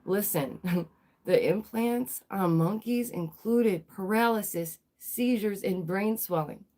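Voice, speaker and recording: A woman's voice: medium pitch, takes it slowly, mellow. Stuffy, nasal woman livestreamer. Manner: emphatic, repeats phrases, fires rhetorical questions. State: stuffy, recovering from a cold. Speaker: livestream host. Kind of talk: live news commentary.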